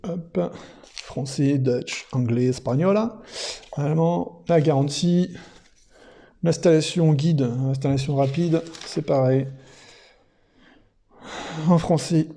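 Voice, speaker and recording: A man with a slightly high-set voice that is nonetheless very mellow and dark in tone. He talks through an unboxing casually, feeling his way as he goes, with a creaky edge to the words. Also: slow, long pauses. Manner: hesitant, thinking aloud. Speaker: product reviewer presenting an unboxing. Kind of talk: casual unscripted unboxing commentary.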